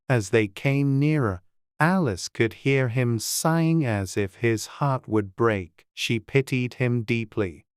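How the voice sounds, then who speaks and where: Slow, measured storybook narrator, male. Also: mid-range voice, darkish tone, clean tone, no echo, clean studio sound. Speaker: audiobook narrator.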